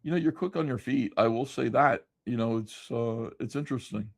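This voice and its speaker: A man's voice: medium pitch, fairly quick, gravelly, no room sound, clean studio sound. A man hosting a casual livestream, reading viewer comments aloud in a loose, profane, rambling way full of fillers. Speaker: livestream host.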